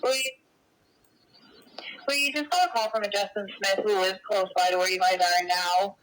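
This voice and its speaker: Male dispatch caller on two-way radio, sharp and bright, relaying details in a working message. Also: sits high, fairly even intonation, moderate pace, a little hiss or hum, low-quality recording.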